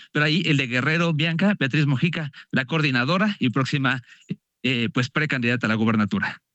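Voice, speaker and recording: A man's voice: a little high, a bit bright, dry recording. A man from Mexico giving a conversational live political report, with some hesitant restarts. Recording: dry, dull remote line.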